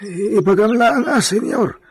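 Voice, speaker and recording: A man's voice: high voice, unhurried, slightly rough, heavily acted. An actor playing an eager, deferential farmhand who speaks hesitantly to his master in a radio drama. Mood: amazed.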